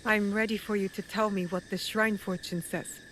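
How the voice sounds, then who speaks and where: A woman playing a resolute young female investigator in a video game drama, measured and serious. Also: mid-range voice, level tone, fairly bright tone, a little hiss or hum, lightly acted, rough recording. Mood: concerned. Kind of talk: dramatic game cutscene acting.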